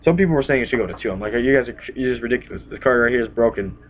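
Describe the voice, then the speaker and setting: A man's voice: mid-range voice, racing, slight background noise. A young man getting over a cold, casually rambling through a card deck to a webcam in a small room, often punctuating with "bam". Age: young adult. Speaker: card game vlogger. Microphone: webcam at desk. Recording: dull lo-fi webcam audio.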